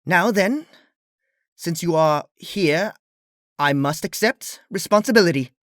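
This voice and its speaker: A storyteller voicing a proud, flustered pony princess of the night with a formal, archaic, booming manner. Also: animated, takes it slowly, fairly bright tone, no room sound, clean studio sound. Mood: awkward, formal.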